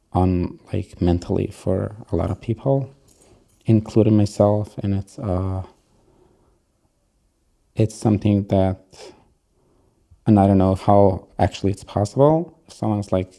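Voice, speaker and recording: Young male interviewee, Yiddish native; unhurried, reflective delivery, low gravelly tone. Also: dark tone. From upstate New York. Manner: slow, thoughtful, with pauses and fillers. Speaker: interview guest. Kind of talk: reflective personal storytelling.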